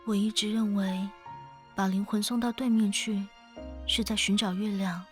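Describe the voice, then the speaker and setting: A woman playing an ageless, gentle and wistful ferrywoman of souls in an audio drama, speaking in a reflective, poetic way with a quiet teasing edge. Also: medium pitch, fairly even intonation, moderate pace, close to an everyday voice. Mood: wistful narration. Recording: studio voice over background music.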